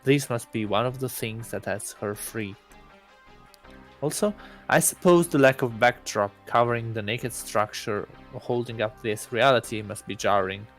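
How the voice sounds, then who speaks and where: A man's voice: medium pitch, unhurried, fairly bright tone, faint music behind, lightly acted. A man voicing an aloof, deluded god posing as a student in a visual novel, musing in a self-important, awkwardly earnest way. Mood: smug, musing. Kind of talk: first-person visual novel narration.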